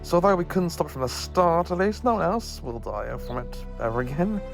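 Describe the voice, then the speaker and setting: A man's voice: fairly high pitch, sing-song, fairly quick, gravelly, soft background music. A man playing an eccentric, kindly alien professor who travels through time, confident but given to hesitant asides in an audio drama. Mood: reassuring.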